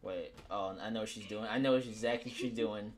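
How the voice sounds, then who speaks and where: A man's voice: on the low side, steady tone, fairly quick. A man chatting in improvised game roleplay, casually swearing and often repeating his phrases. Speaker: roleplay player. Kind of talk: improvised Minecraft roleplay chat.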